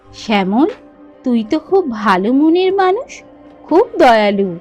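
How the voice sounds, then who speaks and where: A woman acts out a kindly goddess of the forest in a cartoon folk tale. She pitches her voice down and speaks, serene and gracious. Her delivery is measured and approving. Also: very animated, darkish tone, highs cut off, soft background music. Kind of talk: cartoon voice acting.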